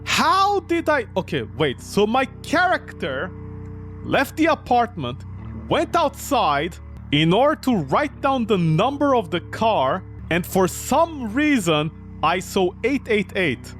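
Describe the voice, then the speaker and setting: An exasperated game streamer reads story text aloud at an unhurried pace, then reacts with theatrical disbelief. The pitch swings widely. Music plays under the voice. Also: very animated, a bit bright. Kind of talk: gaming commentary, reading visual novel text aloud and reacting.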